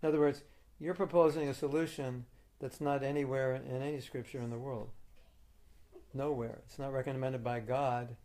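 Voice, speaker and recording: A man's voice: mid-range voice, little pitch movement, moderate pace, a little mellow. A man leading a question and answer session as a spiritual teacher, arguing his point firmly and patiently. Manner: firm, reasoning, argumentative. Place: meeting room.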